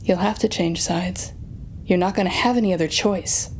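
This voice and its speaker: A woman's voice: on the low side, fairly even intonation, slight background noise, close to an everyday voice. A woman playing a sardonic, telepathic supervillain in an audio roleplay, speaking straight to the listener with dramatic, wry exasperation. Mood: menacing, smug. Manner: dramatic, wry, exasperated, halting when flustered.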